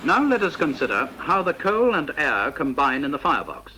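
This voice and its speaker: A British man reading a scripted instructional narration for a vintage training film, in a precise, measured, didactic manner. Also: a little high, sing-song, background noise.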